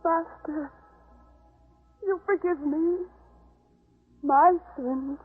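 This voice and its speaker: Very slow, high-voiced penitent woman. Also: faint music behind. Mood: awed, pleading. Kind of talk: 1950s radio drama acting. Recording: tinny vintage 1950s radio recording.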